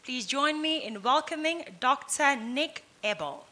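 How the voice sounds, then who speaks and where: A woman's voice: high voice, expressive, a little slow, background noise. A woman serving as master of ceremonies, formally introducing the next speaker at a conference. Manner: formal, announcing. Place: conference hall.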